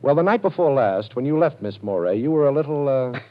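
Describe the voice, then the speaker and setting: A man's voice: medium pitch, brisk, lightly acted. A man as a cool wry safecracking detective. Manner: calm, dryly amused, confident. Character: cool wry ex-con detective, male adult, posing. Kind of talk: 1940s radio acting. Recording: dull old radio broadcast.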